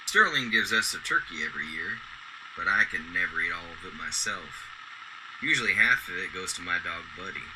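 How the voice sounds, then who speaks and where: An actor playing a kindly, loyal old garbage man in a radio drama, with a gentle, folksy, polite way of talking. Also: low voice, lively intonation, brisk. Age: older. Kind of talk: golden-age radio drama acting. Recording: clearly noisy background.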